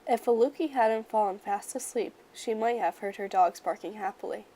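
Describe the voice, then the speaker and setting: Woman doing a kids book read-aloud, expressive storyteller, measured, medium pitch. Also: slight background noise.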